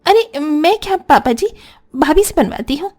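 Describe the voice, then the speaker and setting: Female performer as arrogant young sister-in-law, shrill high pitch, bossy, whiny, cartoon drama. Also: animated, normal speed, darkish tone, faint music behind. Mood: irritated. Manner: scolding, self-centred, whiny. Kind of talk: Hindi cartoon family drama.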